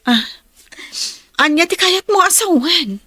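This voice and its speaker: An actress playing a gentle, concerned young woman in an Ilocano radio drama. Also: very high-pitched, lively intonation, slow pace, no room sound, exaggerated voice. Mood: worried.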